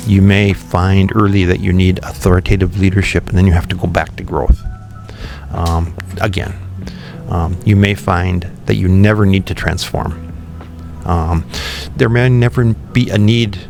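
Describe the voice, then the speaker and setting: Low gravelly-voiced man with music behind. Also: fairly even intonation, unhurried, a little mellow. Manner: thoughtful, many ums and restarts. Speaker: podcast guest, company founder. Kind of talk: relaxed interview conversation.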